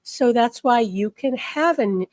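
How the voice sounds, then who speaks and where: A slightly hoarse woman giving an earnest spiritual talk, often checking in with the listener. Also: fairly high pitch, expressive, unhurried, a little mellow, dry recording. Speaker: spiritual teacher.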